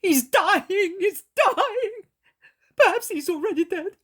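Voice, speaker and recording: A woman's voice: expressive, slow, heavily acted. Woman doing a wailing desperate old grandfather. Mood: desperate pleading. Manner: high, loud and tearful.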